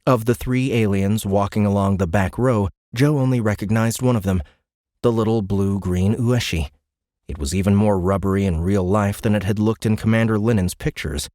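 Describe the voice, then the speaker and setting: A man's voice: fairly low pitch, a little mellow, no echo, studio quality. A man narrating a science fiction audiobook in a dramatic, scripted storytelling style.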